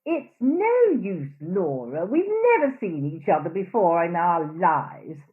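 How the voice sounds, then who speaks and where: Radio drama: actress plays gushing, prying society woman, affected and unhurried, wildly swooping intonation, thin phone-like audio. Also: on the low side, big pitch swings. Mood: catty, gushing. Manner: affected, arch, drawn-out exaggerated delivery. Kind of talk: radio play acting.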